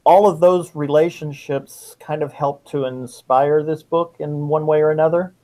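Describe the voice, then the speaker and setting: A middle-aged man answering interview questions as a guest author, in a measured, reflective manner. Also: on the high side, steady tone, mellow. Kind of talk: interview answers about writing.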